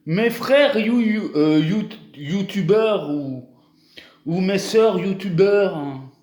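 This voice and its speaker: A man's voice: high-pitched, takes it slowly, a little mellow, echoey room. A young man from France talking casually to his viewers in a vlog, hesitant, with many restarts and fillers. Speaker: YouTuber.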